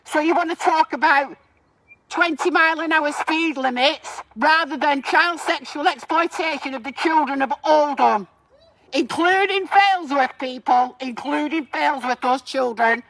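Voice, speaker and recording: A woman's voice: sits high, measured pace, a bit bright. A woman speaks as a street protester. Her delivery is confrontational and accusatory, aimed at anyone within earshot. The voice is amplified by a megaphone, with a dry, echo-free sound outdoors. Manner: confrontational, accusatory, addressing bystanders. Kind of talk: loud public protest speech in the street.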